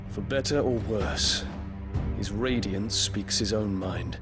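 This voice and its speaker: An actor playing a dutiful, troubled princely knight in a video game drama, formal and restrained. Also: male voice, on the low side, over loud music. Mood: grave. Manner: formal, measured.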